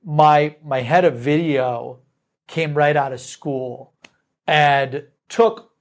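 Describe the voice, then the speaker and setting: Man, thirties, slightly high voice, enthusiastic executive, studio talk. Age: 30s. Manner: energetic, enthusiastic, rapid lists. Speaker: sales company executive, guest. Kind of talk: casual interview answers.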